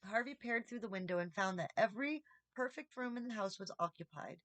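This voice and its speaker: A woman's voice: mid-range voice, lively intonation, fairly quick, a bit bright, no echo. Woman reading a story, dry sound. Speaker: audiobook reader, channel host. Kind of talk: expressive storybook narration.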